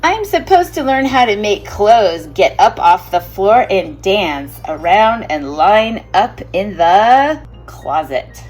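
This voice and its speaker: A woman's voice: mid-range voice, very animated, slow, hardly any pauses, darkish tone, soft background music, poor audio. A woman voicing a little girl who pretends to be a fairy, chanting made-up spells in a sing-song way. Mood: playful.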